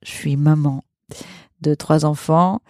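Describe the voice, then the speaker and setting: A woman's voice: deep voice, fairly even intonation, slow, mellow, no echo, clean studio sound. A woman in her thirties from Portugal, a podcast guest chatting casually with many hesitations and frequent laughter. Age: 30s. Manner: chatty, many fillers and restarts, laughs often. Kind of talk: casual conversational storytelling.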